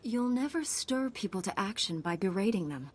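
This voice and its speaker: An actress playing a composed, confident young Jedi knight in a video game, speaking with assurance. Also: female voice, mid-range voice, lively intonation, moderate pace, slight background noise, close to an everyday voice. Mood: composed confidence. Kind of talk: video game dialogue acting.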